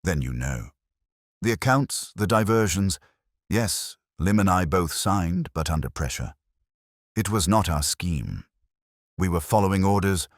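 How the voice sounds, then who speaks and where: A man voicing a frightened, banker-like gentleman in an audiobook, formal and defensive as he pleads. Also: deep voice, lively intonation, measured pace, no echo, studio quality, pitched above the performer's own voice. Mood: shaken, evasive. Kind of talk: detective audiobook dialogue.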